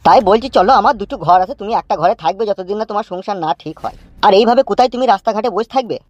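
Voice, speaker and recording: Fast-talking village drunkard played by a man. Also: sits high, expressive, dry recording, highs cut off. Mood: coaxing. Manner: coarse rural slang, wheedling reassurance. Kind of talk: comic cartoon dialogue. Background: music.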